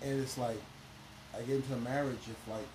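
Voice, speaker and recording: A man's voice: mid-range voice, steady tone, brisk. A man, a minister and educator, talking as a podcast guest in an emphatic, preachy way, full of "you know" fillers. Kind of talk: conversational podcast interview. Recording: echoey room with background noise.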